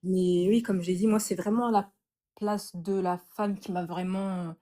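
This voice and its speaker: A woman's voice: fairly low pitch, steady tone, a little slow, warm tone. A woman, a guest in a casual chat, speaking indignantly and with some hesitation.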